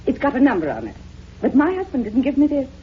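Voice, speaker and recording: Flighty society woman, high-pitched, very animated, gushing, rambling, theatrical, old radio drama. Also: fast talker. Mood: coy. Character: gushing, chatty divorced society woman, flighty and self-satisfied. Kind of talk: 1950s radio drama acting. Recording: dull, noisy vintage radio recording.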